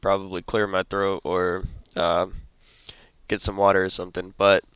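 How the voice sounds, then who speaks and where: A young man who is sick and losing his voice, hosting a casual computer tutorial and stopping often with ums and throat clearing. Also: deep voice, monotone, normal speed, a bit bright, dry recording. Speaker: tutorial host. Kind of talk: casual screen-recorded tutorial.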